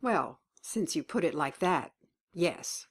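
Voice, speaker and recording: A woman's voice: fairly low pitch, animated, slow pace, slightly rough, full character voice. An actress playing a dying older mother who talks in a blunt, folksy, wry way. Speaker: actor. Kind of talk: web drama acting.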